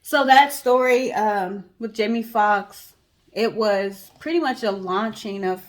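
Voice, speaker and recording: A woman's voice: medium pitch, slow pace. A woman co-hosting a storytelling guessing show, telling stories in a casual, conversational way.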